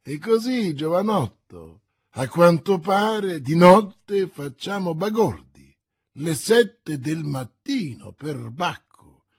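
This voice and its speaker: A man voicing a sly, nervous middle-aged male shopkeeper who mocks in a drawn-out, sneering way. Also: a little high, expressive, darkish tone, husky, no room sound, exaggerated voice. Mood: mocking.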